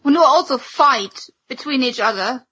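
A woman with a raspy voice chatting casually as a panelist on a fandom podcast. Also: sits high, animated, unhurried, bright, forceful tone. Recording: dull lo-fi sound.

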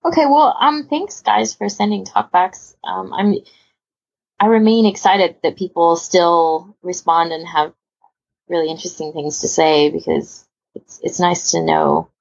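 A woman's voice: on the low side, unhurried, gravelly. A woman hosting a casual panel chat in an informal, rambling, self-deprecating way, on a muffled recording. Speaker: podcast host.

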